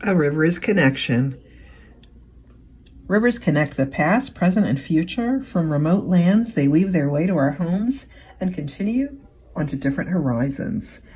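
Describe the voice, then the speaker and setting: A woman reading a picture book aloud as a children's librarian, phrasing it expressively and deliberately for young listeners. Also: low voice, expressive, darkish tone, husky, poor audio.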